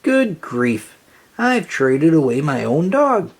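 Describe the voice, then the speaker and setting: Narrator playing anxious storybook boy, earnest, husky, pitch swinging up and down. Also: big pitch swings, slow, full character voice. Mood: troubled.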